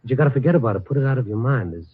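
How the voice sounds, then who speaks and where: An actor playing a world-weary, sympathetic newspaper columnist in a 1940s radio drama. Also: male voice, medium pitch, lively intonation, very fast, slightly rough. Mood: world-weary, soothing. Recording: tinny, narrow-band vintage radio recording.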